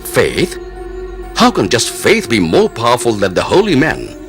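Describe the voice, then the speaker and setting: A man acting a proud, easily offended Mughal emperor in a pompous, commanding manner for an animated moral tale. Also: medium pitch, a little slow, faint music behind, full character voice. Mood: incredulous.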